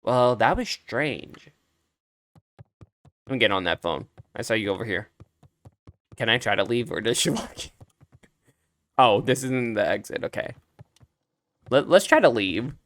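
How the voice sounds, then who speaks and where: A man's voice: mid-range voice, very animated, measured pace, long pauses, a bit bright, no room sound. A man doing casual, chatty game commentary, reacting aloud as he plays. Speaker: let's play streamer.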